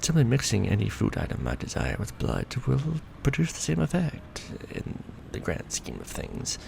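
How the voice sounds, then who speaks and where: Man playing a gravelly, courtly vampire lord. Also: medium pitch, wildly swooping pitch, a little mellow, slight background noise, heavily acted. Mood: musing, refined. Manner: formal, archaic, theatrical, measured phrasing. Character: courtly centuries-old male vampire lord, proud, doting lover. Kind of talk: romantic ASMR roleplay, scripted monologue.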